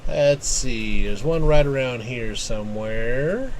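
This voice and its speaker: A man streams a video game with casual running commentary. His voice is mid-pitched with a creaky fry, and he mutters to himself over faint background noise. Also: expressive, slow pace. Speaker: game streamer reading quest dialogue aloud. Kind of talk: casual live gameplay commentary.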